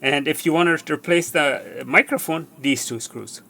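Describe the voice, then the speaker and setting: A man presenting a hands-on repair tutorial, explaining step by step in a halting way and repeating himself. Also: fairly high pitch, creaky, pushed and bright. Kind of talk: hands-on how-to demonstration. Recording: faint background noise.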